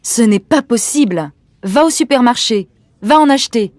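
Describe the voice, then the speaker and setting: An actress playing a brisk, bossy young woman in a clearly enunciated language-lesson dialogue. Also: a little high, animated, darkish tone, no room sound, studio quality, close to an everyday voice. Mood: urgent, exasperated. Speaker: actor in French language-course dialogues.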